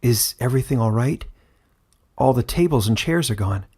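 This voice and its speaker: A man's voice: medium pitch, lively intonation, moderate pace, a little mellow, husky. A man voicing an earnest, humble boy hero in a fantasy audiobook, speaking in a hesitant, sincere way. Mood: concerned.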